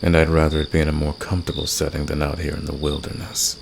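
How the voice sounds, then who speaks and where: A confident villain acted by a man speaks in an extremely deep, creaky voice. He is flirtatious and teasing, intimate as in ASMR romance audio, with a protective streak. Crickets and night noise are clearly heard behind him. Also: completely flat delivery, fast talker. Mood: smug, seductive. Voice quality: very deep, gravelly. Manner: teasing, smug, intimate, soft chuckles.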